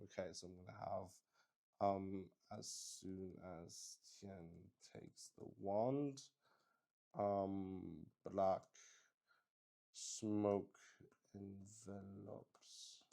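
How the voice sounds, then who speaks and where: A man's voice: deep voice, level tone, extremely slow, vocal fry, dark tone. A man hosting a solo tabletop roleplay stream, improvising the narration as he thinks aloud and repeats phrases. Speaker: game master and solo player, show host.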